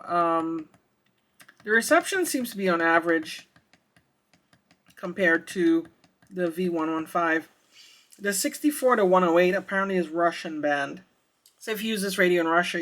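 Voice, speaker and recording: Gadget reviewer, bright forward voice, medium pitch, informal hands-on demo delivery, thinks out loud. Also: normal speed, pauses often. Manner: hesitant, thinking aloud. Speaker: radio reviewer. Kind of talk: casual product demonstration.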